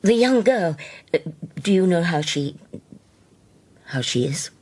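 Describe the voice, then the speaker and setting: An actress playing a grave, devout older Scottish widow in a radio drama, speaking solemnly and haltingly. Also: female voice, on the low side, expressive, darkish tone, husky, heavily acted. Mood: sorrowful, formal. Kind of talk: naturalistic radio drama acting.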